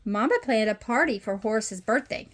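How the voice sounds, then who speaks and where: A woman reading a children's picture book aloud as a storytime host, with expressive storytelling. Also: fairly high pitch, normal speed. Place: messy playroom at home. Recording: noisy home room with clicks.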